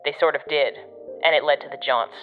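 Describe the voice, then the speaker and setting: Audio drama: sardonic female agency operative, low and casual, guiding over a dull radio link. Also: fairly even intonation, a little fast, soft background music. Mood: matter-of-fact. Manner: dry, sarcastic, casual. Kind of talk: audio fiction podcast acting.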